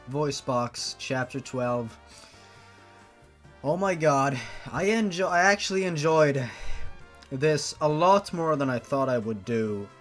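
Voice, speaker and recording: A host giving casual comedic reaction commentary on amateur fiction, melodramatic and exasperated, swearing freely. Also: mid-range voice. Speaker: host of a fan fiction reading series.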